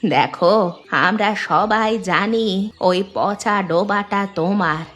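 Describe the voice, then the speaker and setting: A woman's voice: mid-range voice, slow pace, fairly bright tone. A woman playing an earnest, worried old village grandmother in a cartoon. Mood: earnest pleading. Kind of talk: dubbed cartoon dialogue. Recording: music bed behind the voice.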